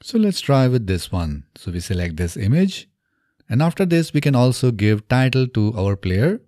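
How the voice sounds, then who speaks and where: A man in his thirties narrating a software tutorial step by step, in an instructional way, close to the microphone. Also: medium pitch, big pitch swings, normal speed, dry recording. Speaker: tutorial presenter. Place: small indoor home studio. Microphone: close desk microphone on a boom arm.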